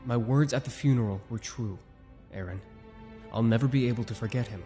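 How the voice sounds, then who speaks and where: A man's voice: medium pitch, a little fast, music in the background, lightly acted. A man voicing a grieving young soldier who is defensive and sarcastic. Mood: bitter.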